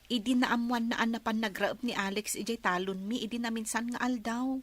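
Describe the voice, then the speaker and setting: Woman voicing a cheerful village daughter, high voice, laughs easily. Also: steady tone, brisk, natural voice. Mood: gossiping.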